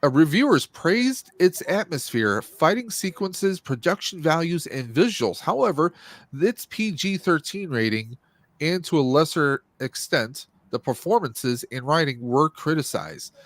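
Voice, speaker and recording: A man's voice: fairly high pitch, animated, a bit bright, dry recording. Rambling man chatting casually about movies, dry sound. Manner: rambling, halting, searching for words. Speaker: live stream co-host reviewing movies. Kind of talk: casual chat, movie commentary.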